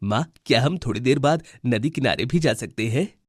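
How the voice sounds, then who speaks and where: Man voicing a lively cartoon bird. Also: mid-range voice, moderate pace, breathy edge, dry recording, clean studio sound. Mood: eager. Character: young male bird characters in a children's animal tale. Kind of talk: children's cartoon voice acting.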